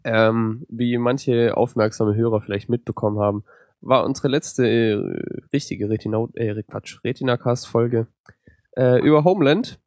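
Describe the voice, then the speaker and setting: A man with a cold, co-hosting a podcast in a relaxed, rambling way with many fillers. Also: mid-range voice, moderate pace, no echo, studio quality. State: has a cold. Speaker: podcast co-host. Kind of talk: casual podcast chat.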